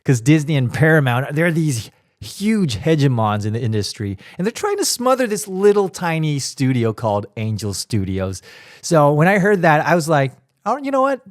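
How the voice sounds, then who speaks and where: A man hosting a casual solo podcast, talking in a chatty, animated way, his voice raspy from a cold. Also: fairly high pitch, moderate pace, no room sound, studio quality. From Taiwan. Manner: chatty, animated, emphatic asides. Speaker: podcast host.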